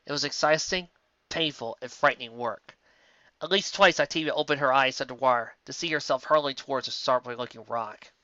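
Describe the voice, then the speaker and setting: A reader narrating a pony fanfiction story in an expressive audiobook style, with sudden jumps in pitch. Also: a little fast, dry recording, lo-fi sound.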